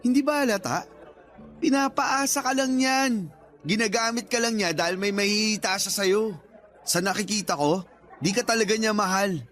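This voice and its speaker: A frank Filipino buddy in a radio drama, pitched fairly high for a man. He scolds and advises in casual slang, protective and blunt, over soft background music. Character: blunt, protective male friend giving love advice.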